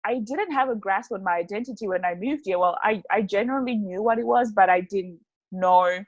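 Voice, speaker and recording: A playful woman, talking at an ordinary middle pitch as a podcast guest. She sounds close and dry, with hardly any room around her voice. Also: fairly quick, no room sound, muffled recording. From Zimbabwe. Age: 20s. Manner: playful and chatty, laughs often. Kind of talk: casual chat.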